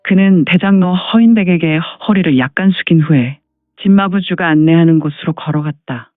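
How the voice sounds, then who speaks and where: 높은 음성의 남자 무협 해설자. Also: male voice, a little high, fairly quick, no room sound. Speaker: narrator. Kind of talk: scripted martial-arts story narration. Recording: phone-like thin sound, music behind.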